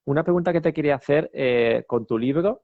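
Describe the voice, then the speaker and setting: A man with a gravelly, creaky voice, recorded dry and slightly dull. As interview host he asks long, meandering questions, loaded with fillers and checks of "right?". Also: fairly high pitch, fairly quick, dry recording. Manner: rambling questions with fillers and tag questions. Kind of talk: interview conversation.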